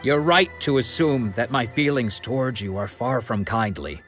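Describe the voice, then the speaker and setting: An actor playing a steady, forgiving former rival swordsman in a game, speaking in a measured, earnest way. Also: medium pitch, soft background music, natural voice.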